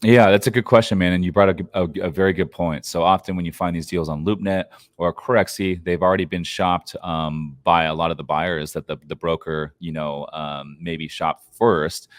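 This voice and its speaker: A man's voice: deep voice, steady tone, moderate pace, hardly any pauses, dry recording, studio quality. A man speaking as a podcast guest, answering interview questions about investing in an informal, advice-giving way.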